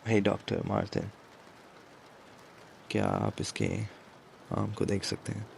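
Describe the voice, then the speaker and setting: A man playing a commanding, protective mafia boss in an ASMR romance roleplay, speaking in a hushed, deliberate way with English words mixed in. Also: fairly even intonation, a little hiss or hum. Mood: stern, commanding. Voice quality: low, gravelly, breathy at times. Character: commanding yet protective male mafia boss, tender with his captive.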